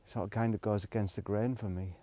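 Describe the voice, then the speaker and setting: A man's voice: on the low side, fairly even intonation, a little fast, dark tone. A middle-aged man, rambling reflectively in a casual solo monologue, hesitant and thinking aloud as he goes. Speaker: solo video blogger.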